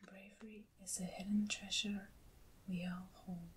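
A woman speaking in a hushed, breathy whisper, gentle and unhurried, as the host of an ASMR unboxing video. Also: medium pitch, flat delivery, background noise.

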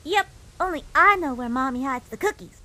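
A woman's voice: high-pitched, expressive, moderate pace, pushed and bright. A woman voicing a sweet, curious little monster boy prince in a comic dub, innocent and playful. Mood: innocent, teasing. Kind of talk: cartoon-like comic dub voice acting.

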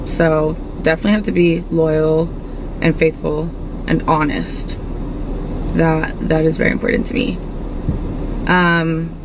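A woman in her twenties answering questions in a casual interview, hesitant and quick to giggle. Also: deep voice, flat delivery, background noise. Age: 20s.

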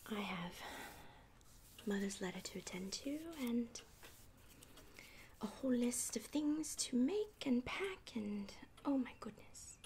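A genteel Regency married lady, acted by a woman in an ASMR period roleplay, whispers softly and breathily. She is affectionate and house-proud, with unhurried, old-fashioned phrasing. The close recording has clear background noise with a ticking sound. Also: on the high side, expressive. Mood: gentle, fond. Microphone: close microphone.

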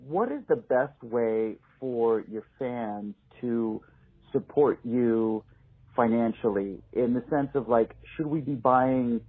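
A man's voice: medium pitch, slightly rough. A man from Utah leaving a voicemail, asking an earnest, rambling question. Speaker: caller. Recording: tinny phone voicemail.

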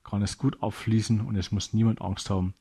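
A man's voice: fairly low pitch, little pitch movement, a little fast, creaky, dark tone, slightly rough. A man narrating a scripted children's audio story as a storyteller.

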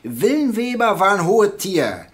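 A man playing an evasive, gruff police detective in a crime drama, talking casually and bluntly in everyday slang. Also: high voice, very animated, a little slow. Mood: matter-of-fact. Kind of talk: naturalistic audio drama dialogue.

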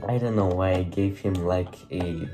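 A man's voice: low voice, steady tone, normal speed, mellow, faint music behind. A man with a Russian accent casually commentating a game at home, musing, correcting himself and laughing at himself. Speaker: gaming channel host. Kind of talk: casual let's-play commentary. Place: home room.

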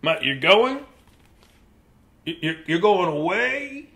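An anxious, pleading elephant from a children's storybook, acted out by a man. He is high-voiced and melodramatic, with big swoops of pitch as he insists and repeats himself. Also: sing-song, takes it slowly, bright, forceful tone, heavily acted. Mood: alarmed, pleading. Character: anxious, pleading male cartoon elephant, prone to wailing.

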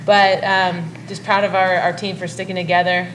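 A middle-aged woman coach fields postgame questions in a calm, measured way. Her voice sits on the low side. She speaks into a table mic in a press room, with clear noise behind her. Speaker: head softball coach. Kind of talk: postgame press conference answers.

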